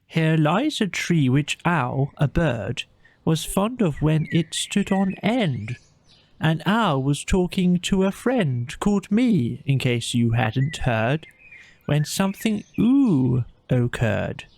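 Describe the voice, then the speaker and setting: A man voicing a gentle, thoughtful bear who fancies himself a poet, speaking hesitantly in a storybook audio play. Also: on the high side, very animated, slow, gravelly, voice pushed up, heavily acted. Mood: reciting proudly. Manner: hesitant, thoughtful, with small ums. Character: gentle, musing bear of little brain, amateur poet.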